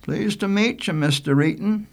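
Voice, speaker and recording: A man's voice: high-pitched, normal speed, creaky, a little mellow, pitched above the performer's own voice. A man voicing an eager, earnest island boy, polite and outspoken, with folksy rustic speech in a storybook reading.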